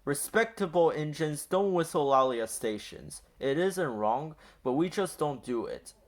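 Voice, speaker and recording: A man's voice: on the high side, lively intonation, unhurried. A man voicing a boastful, grumbling big steam engine with a pompous, huffy manner in a children's storybook. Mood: lecturing.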